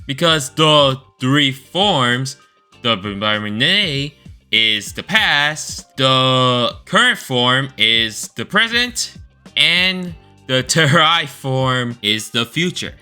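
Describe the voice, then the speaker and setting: A man giving informal, enthusiastic opinion commentary as a video creator, expressive and stretching words for emphasis. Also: medium pitch, extremely slow, clean tone. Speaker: video creator ranking game stories. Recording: voice over background music.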